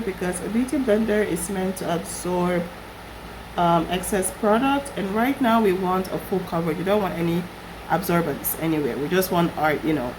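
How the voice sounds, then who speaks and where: A young woman recovering from a flu, her voice husky, chatting casually through a makeup tutorial. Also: lowish voice, noisy background. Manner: chatty, rambling with fillers. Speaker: beauty vlogger.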